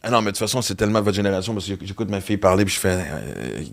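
A man's voice: deep voice, expressive, rapid-fire, gravelly. A man hosting a casual podcast chat, swearing freely and telling rambling stories. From Western Canada. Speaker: podcast host.

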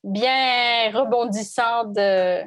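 A woman's voice: a little high, very slow. A woman violinist from Canada, in her thirties, talking reflectively in an interview, hesitant with many restarts. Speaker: violinist guest. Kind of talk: conversational interview.

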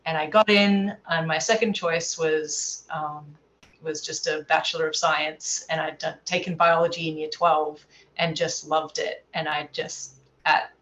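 A woman's voice: low voice, steady tone, a little slow, a bit bright, a little hiss or hum, low-quality recording. A woman from Australia with an Australian accent touched by American, chatting casually as an easygoing interview guest from home. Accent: Australian with American influence. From Adelaide, Australia. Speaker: podcast guest, scientist. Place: at home, remote call.

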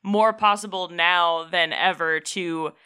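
A woman's voice: lowish voice, fairly even intonation, slow pace, bright, forceful tone, clean tone. A woman co-hosting a casual podcast interview, asking questions and chatting with the guest. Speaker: podcast co-host.

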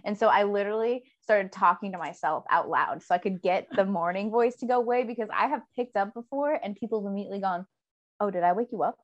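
A woman's voice: mid-range voice, quick pace, muffled recording. Sleepy, giggly young woman chatting. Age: young adult. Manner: giggly, rambling, lots of fillers. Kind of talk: casual chat.

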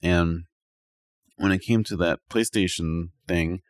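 A man's voice: sits low, slow pace, no room sound, studio quality. A man co-hosting a podcast, talking casually and informally about games. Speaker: podcast co-host. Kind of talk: casual gaming chat.

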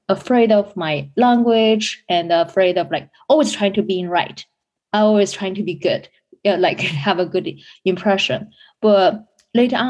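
A woman's voice: medium pitch, a little mellow. Chatty young woman. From China. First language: Chinese. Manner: chatty, many fillers and restarts, laughs lightly. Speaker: business school student guest. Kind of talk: casual interview answers.

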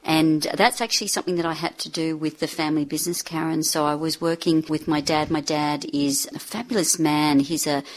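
Woman, 50s, reflective guest, low pitch. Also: fairly even intonation, a little fast, few pauses, rough recording. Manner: reflective, frequent fillers and restarts. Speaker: author and speaker, guest. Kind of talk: interview conversation.

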